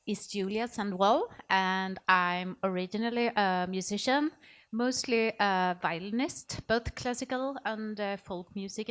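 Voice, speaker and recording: A woman speaking informally on a panel, in halting phrases with self-corrections. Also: mid-range voice. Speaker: panelist, music project coordinator.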